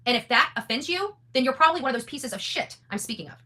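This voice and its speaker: Female online host, rapid-fire and forceful, blunt, defiant monologue with repeated phrases for emphasis. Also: sits high, lively intonation. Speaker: online content creator and host. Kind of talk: unscripted opinionated monologue to an audience.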